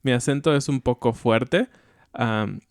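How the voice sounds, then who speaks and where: A Mexican-accented man talks through ideas calmly and thoughtfully, like a teacher chatting on a podcast. His voice is smooth and clear, recorded close and dry with no room sound. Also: medium pitch, measured pace, dry recording. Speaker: podcast co-host. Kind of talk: educational podcast chat.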